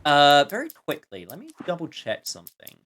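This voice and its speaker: A man streams a game with casual, joking commentary. His voice sits slightly high and moves in lively swings, often sarcastic. Faint background noise is present. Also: moderate pace.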